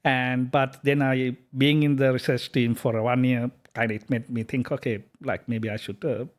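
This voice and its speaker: A man, a software company founder talking casually in an interview, hesitant with many fillers and restarts. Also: medium pitch, level tone, normal speed. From Sri Lanka. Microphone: podcast microphone.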